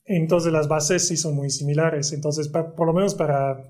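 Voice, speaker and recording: Swiss guy, kinda high voice, stumbles and repeats words explaining physics. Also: steady tone, moderate pace, darkish tone. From Switzerland. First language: French. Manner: hesitant, repeats words while searching for terms. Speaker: guest physicist. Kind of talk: casual conversational explanation.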